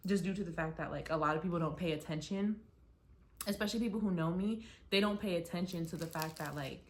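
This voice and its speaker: Young American woman, lowish voice, casual vlogger who rambles and restarts a lot. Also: fast talker, slight background noise. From United States. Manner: chatty, rambling, many "like" fillers and restarts. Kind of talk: casual rambling chat to camera. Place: her apartment, mid-packing.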